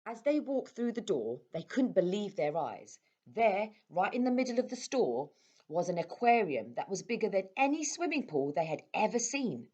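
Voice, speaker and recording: A woman reading a children's story aloud as a storyteller, with expressive delivery. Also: mid-range voice, normal speed, lo-fi sound. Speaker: storyteller at a primary school.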